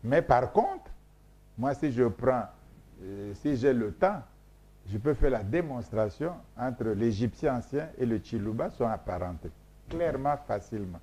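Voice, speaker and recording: Emphatic, lecturing Congolese man, mellow voice. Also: medium pitch, animated, unhurried. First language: Mboshi. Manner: emphatic, repetitive, lecturing, many hesitations. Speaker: scholar being interviewed. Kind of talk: explanatory interview talk.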